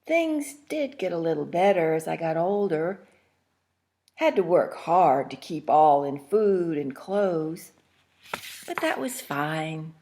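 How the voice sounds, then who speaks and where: A woman reading a first-person holiday memory aloud in short, plain phrases with pauses. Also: sits low, expressive, dark tone. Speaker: story reader.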